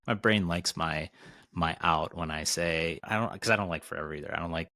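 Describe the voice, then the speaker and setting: A man's voice: sits low, a little fast, sharp tone, dry recording, clean studio sound. A man in his forties co-hosting a podcast, talking casually and candidly and swearing now and then. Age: 40s. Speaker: podcast co-host.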